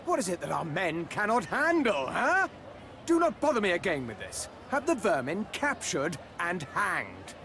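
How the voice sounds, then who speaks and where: Grandiose king for a game, excitable and bossy, pitched high, outdoor noise behind him. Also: normal speed, heavily acted. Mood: irritated, dismissive. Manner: imperious, grandiose. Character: haughty, excitable Hungarian crusader king. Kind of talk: theatrical video game acting.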